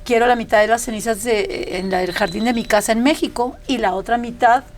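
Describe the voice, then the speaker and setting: A woman's voice: fairly high pitch, brisk, a little hiss or hum. A middle-aged woman, a chef telling her story as a guest in a chatty, anecdotal way. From Mexico. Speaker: chef and restaurant founder, guest. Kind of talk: casual interview chat.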